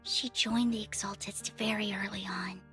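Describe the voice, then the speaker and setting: An actress playing an anguished, guilt-ridden young woman in a video game, soft and breathy as she confides. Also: mid-range voice, fairly even intonation, moderate pace, soft background music, natural voice. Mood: hushed regret. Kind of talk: dramatic video game voice acting.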